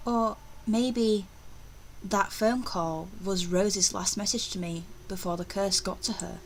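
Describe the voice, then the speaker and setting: A woman's voice: medium pitch, steady tone, takes it slowly, slight background noise, poor audio. A woman voicing an anxious, superstitious young estate agent in a horror visual novel, with a dramatic, uneasy manner. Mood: puzzled, worried. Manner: dramatic, uneasy inner monologue. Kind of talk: visual novel voice-over reading.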